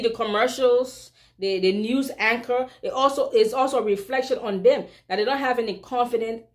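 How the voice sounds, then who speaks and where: A Liberian woman with a Liberian accent, a vlogger talking straight to her viewers in an emphatic opinion commentary, full of rhetorical questions. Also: medium pitch.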